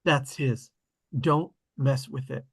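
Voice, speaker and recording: A man reading the part of an earnest, hopeful boy adventurer at a script table read, in a slow, hushed delivery. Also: mid-range voice, fairly even intonation, vocal fry, breathy edge, exaggerated voice.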